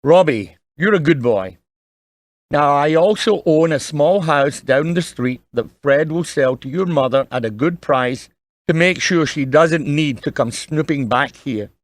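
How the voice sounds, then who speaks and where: Casting: gentle, solemn dad played by a man, fairly high male voice, earnest audio drama delivery, dry sound. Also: animated, measured pace, dry recording. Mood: solemn, frank. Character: kindly, earnest dying father advising his young son.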